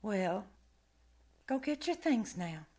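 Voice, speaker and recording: A woman's voice: medium pitch, sing-song, slow pace, noisy background. A woman playing a kindly, fretful nanny in an old-time radio drama, coaxing in a motherly way. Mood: forced cheer. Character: kindly, fretful nanny soothing a little girl.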